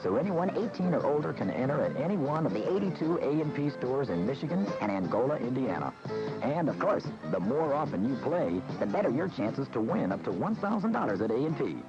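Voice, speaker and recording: A man's voice: mid-range voice, big pitch swings, a little fast. A man reading a scripted radio advertisement as a commercial announcer. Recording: dull AM radio recording, music behind.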